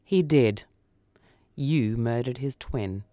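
A woman voicing a sombre, coolly mocking male judge of the dead in a radio story, measured and stern. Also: very deep voice, dark tone, dry recording. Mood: accusing.

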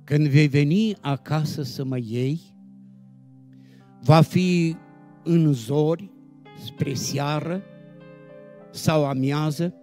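A man reciting devotional poetry solemnly and expressively, with dramatic phrasing and deliberate pauses. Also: on the high side, dark tone. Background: instrumental music.

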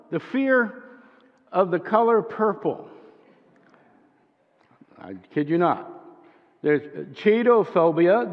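Older pastor preaching in a reverberant church. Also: male voice, high-pitched. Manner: wry, conversational preaching with dry jokes. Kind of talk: sermon. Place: church sanctuary at a wooden pulpit. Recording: dull sound with long church reverb.

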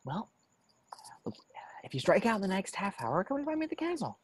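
Woman reading as lively, sassy young male roommate. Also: mid-range voice, brisk, breathy edge, dry recording. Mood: brisk. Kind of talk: amateur table reading of a film script. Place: remote video call. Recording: noisy call with clicks.

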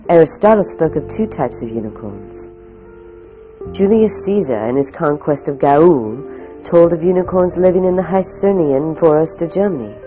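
A woman reading scripted documentary narration in a measured, solemn storytelling manner. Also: sits low, lively intonation, warm tone. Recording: music behind. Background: background music.

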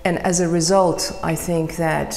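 A middle-aged woman from Iran, a photographer speaking thoughtfully about her work, searching for words with many pauses and restarts. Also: fairly low pitch, level tone, creaky, darkish tone, reverberant, big-room sound. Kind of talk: reflective interview monologue.

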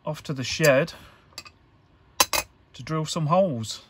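A man giving an informal hands-on tutorial, talking in a halting, hesitant way as he thinks aloud. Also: on the high side, background noise. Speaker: electronics hobbyist presenter.

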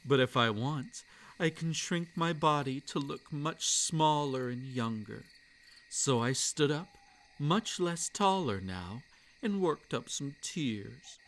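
A man voicing a possessive, gloating yandere kitsune monster boy in an intimate, hushed, menacing ASMR roleplay monologue. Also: a little high, expressive, slow, full character voice. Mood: smug, gloating. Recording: night insect ambience behind the voice. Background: crickets and night insects.

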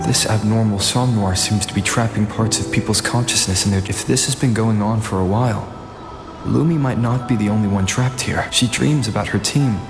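A determined young male game hero, played by a man, reflecting calmly in a lowish voice. His measured monologue echoes over background music. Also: lightly acted.

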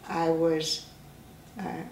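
Slow, gravelly woman in her eighties. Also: deep voice, flat delivery, darkish tone, slight background noise. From Greece. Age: 80s. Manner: slow, halting, with many restarts and fillers. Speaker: interviewee. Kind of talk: oral history interview. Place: indoor room, seated in a chair. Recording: roomy, slightly distant sound.

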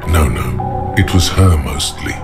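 An actor voicing a grave, all-knowing inner voice in a detective's head, narrating a video game in a measured, solemn way. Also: male voice, music in the background, exaggerated voice. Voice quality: deep, gravelly.

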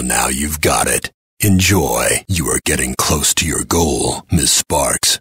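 An actor playing a menacing, taunting game announcer, theatrical and sardonic, with a gravelly raspy voice. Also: male voice, rumbling low, fairly bright tone, dry recording, exaggerated voice. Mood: mocking. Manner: ominous, sardonic, dramatic delivery. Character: menacing, taunting male arena announcer for deadly car combat.

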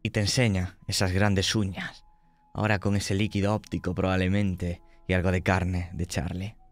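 A man narrating a tabletop horror game as game master, slow and dramatic as he describes scenes. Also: low voice, level tone, faint music behind.

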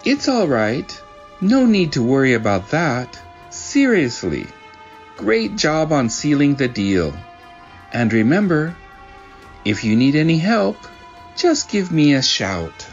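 A man's voice: a little high, wildly swooping pitch, takes it slowly, muffled recording, faint music behind, full character voice. A man voicing an earnest, helpful young office worker in a manga dub, polite and supportive. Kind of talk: manga dub character acting.

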